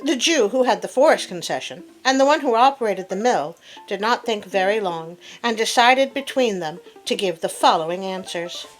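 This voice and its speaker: A woman telling a fable as host in an expressive, theatrical read-aloud style with playful asides. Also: mid-range voice, normal speed, low-quality recording. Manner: theatrical, animated. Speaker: storyteller host. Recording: classical music playing behind. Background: soft piano sonata.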